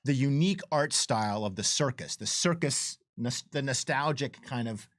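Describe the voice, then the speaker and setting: A man's voice: mid-range voice, lively intonation, normal speed, fairly bright tone, dry recording, studio quality. A man giving enthusiastic, conversational developer commentary, eagerly breaking off and restarting his thoughts.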